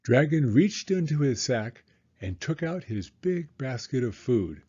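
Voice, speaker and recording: Slow, animated man reading a children's story. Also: medium pitch, husky, lo-fi sound. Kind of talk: expressive read-aloud story narration for young children.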